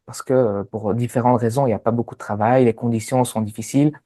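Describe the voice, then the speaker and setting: A man's voice: mid-range voice, steady tone, fast talker, warm tone. A man in his thirties with a Sicilian Italian accent, telling his story as an interview guest, with many hesitant fillers. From Sicily, Italy. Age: 30s. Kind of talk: relaxed personal story.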